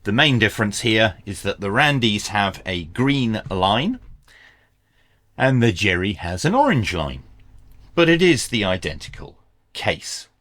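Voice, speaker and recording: Male product reviewer for an unboxing. Lower register, animated intonation, informal and frank delivery. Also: measured pace. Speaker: reviewer and presenter of radio gear.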